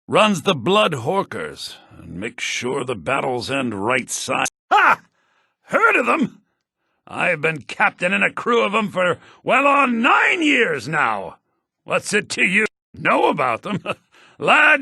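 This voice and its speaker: An actor playing a brash, boastful pirate captain in a fantasy video game, with roguish swagger. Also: male voice, high voice, vocal fry, sharp tone, breathy edge, dry recording, clean studio sound, exaggerated voice. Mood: proud, amused. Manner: swaggering, salty seafarer talk.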